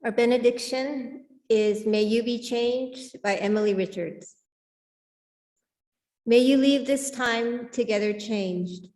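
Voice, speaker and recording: Female service leader, slightly high voice, formal reading, reverberant hall. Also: slow pace, darkish tone. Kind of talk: read benediction and announcements. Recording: echoey hall with long reverb.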